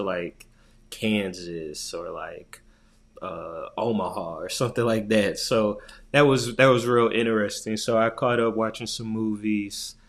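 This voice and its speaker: Male podcast co-host, slightly low voice, relaxed and rambling, casual conversation. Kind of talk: casual chat.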